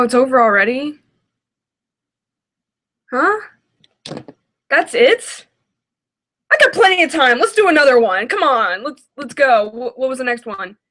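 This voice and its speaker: Female reaction host, high-pitched, unhurried, casual and blunt with exclamatory bursts. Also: sharp tone.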